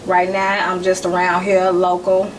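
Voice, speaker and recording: A woman's voice: lowish voice, measured pace. Woman in her forties, distant and noisy. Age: 40s. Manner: relaxed, conversational, speaks in short phrases. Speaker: podcast guest, caterer. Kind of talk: casual chat.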